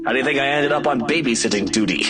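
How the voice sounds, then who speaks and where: A man voicing an irritated, dismissive father in visual novel dialogue, loud and exasperated. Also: medium pitch, very fast, natural voice. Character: irritated, dismissive father stuck on babysitting duty. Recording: distant voice under music.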